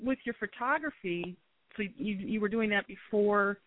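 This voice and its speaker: A woman's voice: mid-range voice, normal speed. A woman guest co-host casually and hesitantly asking questions in an interview. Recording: phone-like, narrow sound.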